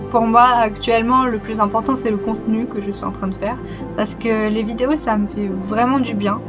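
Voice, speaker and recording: A young woman talking to her channel in a casual, reflective way, open and a little hesitant, with soft laughs. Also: on the high side. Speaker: YouTuber. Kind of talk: casual personal vlog talk. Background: music.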